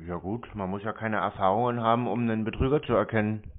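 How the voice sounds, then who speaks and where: A man's voice: lowish voice, fairly even intonation, fast. A man chatting casually on the phone in a blunt, dismissive, nonchalant manner. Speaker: host taking scam calls. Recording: muffled tinny phone-like line.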